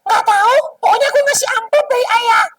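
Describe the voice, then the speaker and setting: A man voicing an innocent, indignant young boy in a squeaky childlike falsetto for a children's story. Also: fast talker, distant mic, heavy background noise. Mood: innocent, eager.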